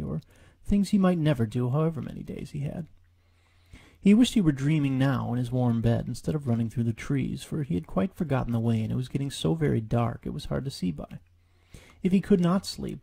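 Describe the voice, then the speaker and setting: Very dark, gravelly male storyteller. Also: medium pitch. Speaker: author reading own story. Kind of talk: storybook audiobook narration.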